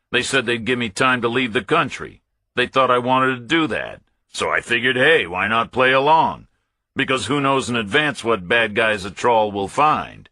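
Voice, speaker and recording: A man's voice: mid-range voice, sharp tone, no echo, voice pushed up, close to an everyday voice. A man voicing a cornered Pentagon officer in a thriller audiobook, arrogant and impatient, insisting on his story in a glib, defensive way.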